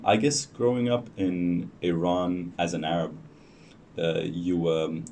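A young man, a podcast guest talking casually and hesitantly with many pauses and restarts. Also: deep voice, a little mellow. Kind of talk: casual interview chat. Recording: distant mic with faint noise.

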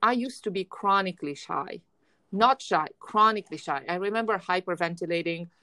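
Energetic woman host, Russian-sounding accent. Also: fairly low pitch, normal speed, dry recording. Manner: energetic, emphatic, repeats words when starting. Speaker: podcast host. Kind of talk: casual conversation.

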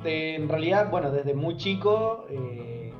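A man with an Argentine accent telling anecdotes casually as an interview guest, pausing and repeating words as he thinks. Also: fairly high pitch. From Córdoba, Argentina. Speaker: guest, software developer. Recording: dull, echoey sound with music behind. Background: guitar music.

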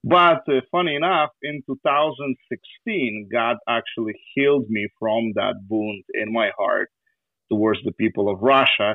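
A man with a Ukrainian accent, a youth pastor speaking as a guest on a casual podcast, talking haltingly with many fillers and frequent laughs. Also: medium pitch, dry recording. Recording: tinny phone-like line.

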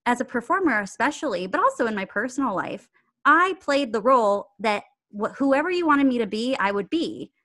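A woman's voice: on the high side, animated, fairly quick, a bit bright. A woman guest on a podcast, talking earnestly and reflectively in casual conversation. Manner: earnest, reflective, with bursts of laughter. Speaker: podcast guest, acting coach.

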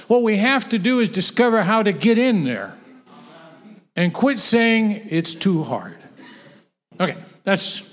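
Male preacher, slow and emphatic, slightly high voice, long hall reverb. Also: very animated. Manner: emphatic, repetitive, with halting restarts. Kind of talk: sermon to a conference audience.

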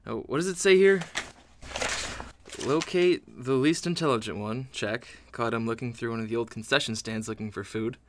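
An actor playing a mischievous, sneering haunter in a comedic audio drama, full of sarcastic muttered asides. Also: male voice, medium pitch, moderate pace, no echo, lightly acted. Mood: scheming, smug. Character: sneering mischievous male haunter reading a scaring manual.

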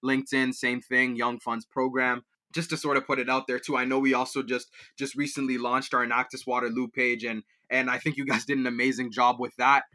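Young guy in an interview, bright tone, keeps restarting, lots of um and like. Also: mid-range voice, little pitch movement, brisk, hardly any pauses. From Liberia, raised in Kenya and Canada. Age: young adult. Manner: rambling, many restarts and fillers. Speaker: student guest. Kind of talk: casual interview answers.

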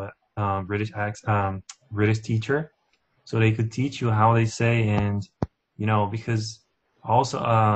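A man from Brazil with a Brazilian Portuguese accent, speaking English loosely and with plenty of fillers in a casual discussion. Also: on the low side. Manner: loose, filler-heavy, "you know what I'm saying".

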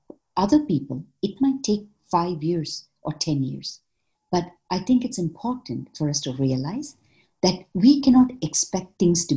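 Low, mellow-voiced woman social worker. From Malaysia. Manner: reflective, measured, many fillers. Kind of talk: interview answers.

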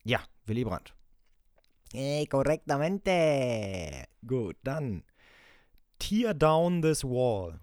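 A man's voice: mid-range voice, very slow, pauses often, no room sound, clean studio sound. A man co-hosting a casual podcast, reading out quotes for a quiz and laughing often. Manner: lively, laughing, reads quotes aloud. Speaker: podcast co-host running a quiz.

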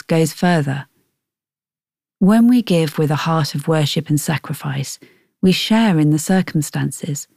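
Low, mellow woman reading a devotional. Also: vocal fry, dry recording, clean studio sound. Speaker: devotional reader. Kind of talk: scripted devotional narration. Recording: faint music behind.

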